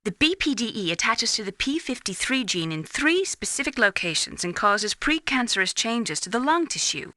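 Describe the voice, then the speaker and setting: An actress playing an earnest scientist advisor in a video game, explaining things in a precise, clinical, lecturing manner. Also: female voice, on the high side, very animated, moderate pace, hardly any pauses, sharp tone, no room sound, clean studio sound. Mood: disapproving, clinical.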